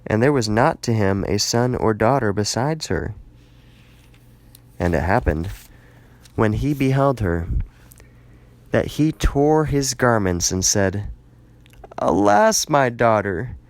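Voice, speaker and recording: A man narrating scripture aloud in a measured, dramatic reading style, phrasing deliberately. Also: on the low side, lively intonation.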